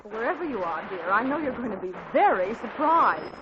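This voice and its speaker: A woman's voice: a little high, sing-song, moderate pace. A weary, genteel middle-aged mother in a 1940s radio comedy. She speaks politely but tiredly. The sound is a dull old broadcast with hiss.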